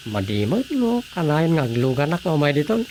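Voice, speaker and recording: A man's voice: a little high, sing-song, fairly quick, a little mellow, slight background noise. A man with a Filipino accent acting an easygoing husband in a radio drama. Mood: casual.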